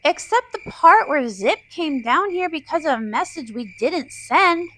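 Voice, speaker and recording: A woman's voice: high-pitched, lively intonation, unhurried, bright, forceful tone, heavily acted, rough recording. A woman voicing an earnest, worried young pony princess in a cartoon fan dub, speaking emotively. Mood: puzzled.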